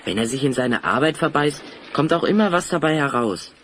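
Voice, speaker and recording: An actor playing a loyal, polite young adventurer in an audio drama, speaking in a measured, confident way. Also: male voice, fairly high pitch, animated, background noise, natural voice. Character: loyal, level-headed young male adventurer. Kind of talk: German audio drama acting.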